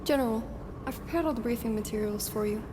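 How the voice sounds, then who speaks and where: A woman's voice: on the high side, quick pace, natural voice. An actress in an audio drama playing a sly, efficient and friendly female officer. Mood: brisk, friendly. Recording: clearly noisy background.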